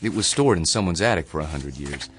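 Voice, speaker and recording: History professor for radio drama: very low voice, measured and theatrical, hint of a hidden past. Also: male voice, animated, fairly bright tone, faint music behind. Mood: polite, guarded. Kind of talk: modern radio drama acting.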